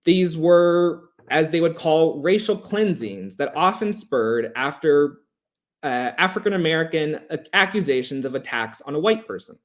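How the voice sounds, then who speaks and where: A man giving a prepared history presentation in a measured, emphatic, formal way, as a lecturer. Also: fairly high pitch, lively intonation.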